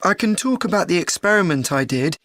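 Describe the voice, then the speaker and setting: A woman's voice: lowish voice, expressive, a little fast, dry recording, clean studio sound, lightly acted. A woman playing an earnest university student in a scripted educational dialogue. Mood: earnest, thoughtful. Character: earnest university student discussing a joint presentation.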